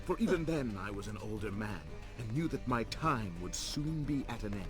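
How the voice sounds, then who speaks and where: An actor playing a scheming, power-hungry older vizier in a video game, speaking in a theatrical, menacing and deliberate way. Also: male voice, on the low side, animated, over music, lightly acted. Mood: grandiose, brooding. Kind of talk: dramatic villain monologue.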